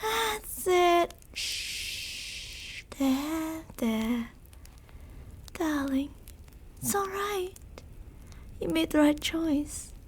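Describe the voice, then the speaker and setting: An ancient female vampire in an intimate ASMR roleplay. Her voice sits extremely high and she draws her words out slowly. She is seductive, possessive and cruel, giving orders in a coaxing, controlling way. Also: expressive, full character voice. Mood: possessive, soothing. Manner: slow, commanding, coaxing, with whispered endings.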